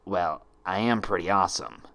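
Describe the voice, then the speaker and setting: A man's voice: deep voice, expressive, moderate pace, vocal fry, a bit bright, heavily acted. A man voicing a cheeky, eager young male dragon sidekick in a storybook, casual and boyish. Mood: cocky.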